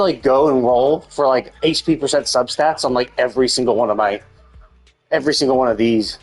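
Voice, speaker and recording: A man streaming a game live, talking casually and haltingly as he works through numbers to himself. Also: a little high, breathy edge. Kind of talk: casual gaming stream commentary, thinking aloud.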